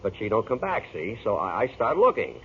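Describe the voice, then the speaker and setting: A man's voice: lowish voice, huge pitch swings, a little fast, husky, heavily acted. Shifty, stammering radio noir wise guy. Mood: nervous, defensive. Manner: stammering, evasive, colloquial. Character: shifty, wisecracking cafe owner caught by police. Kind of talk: 1940s radio noir acting. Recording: tinny, noisy old radio broadcast.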